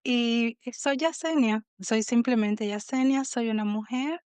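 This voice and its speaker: A Cuban woman in her thirties, a podcast guest telling her story in a reflective, halting way with many restarts. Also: a little high, darkish tone, clean tone, no room sound. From Cuba. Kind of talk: casual interview.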